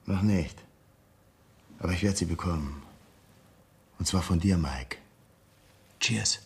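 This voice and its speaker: A man acting in a German radio crime drama as a cool, menacing escaped convict, sardonic and insistent, with a husky voice. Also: sits low, slow, heavily acted. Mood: quietly confident.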